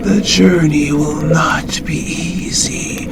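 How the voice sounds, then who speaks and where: A man's voice: on the high side, fairly even intonation, gravelly, over loud music. A man playing a giant, wise old water dragon in an audio drama, speaking in a solemn, portentous manner. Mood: grave, admonishing. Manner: slow, solemn, portentous delivery.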